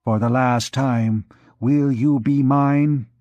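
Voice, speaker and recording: A man reading aloud, voicing a possessive, raving middle-aged priest who shouts threats in a frenzy. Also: mid-range voice, steady tone, slow pace, no room sound, muffled recording, lightly acted. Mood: furious.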